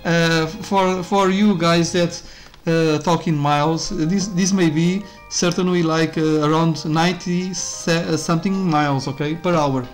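A man's voice: fairly high pitch, steady tone, unhurried. Casting note: man, chatty game commentary, loose and rambling, with faint game music underneath. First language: Portuguese. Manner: rambling, self-interrupting, with frequent fillers and laughs. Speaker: YouTuber reviewing a game. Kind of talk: casual gameplay commentary.

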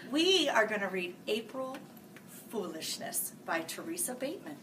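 Lively woman reading aloud to kids. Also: moderate pace. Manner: sing-song, animated read-aloud with sudden loud, high character lines. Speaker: school staff reading buddy. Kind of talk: children's picture book read-aloud. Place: small room, seated before a green-screen farm backdrop. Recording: distant mic with faint hiss.

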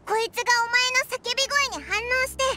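A woman's voice: very high voice, brisk, pushed and bright, slight background noise, full character voice. A woman voicing a small, boyish fairy companion with blunt, childlike speech in an anime game. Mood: reassuring, explaining. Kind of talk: Japanese anime game voice acting.

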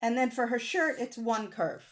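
A middle-aged woman leading a drawing lesson for children, explaining simply with animated emphasis on key words. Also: on the high side, normal speed. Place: small home room with bookshelves. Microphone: computer mic on a video call.

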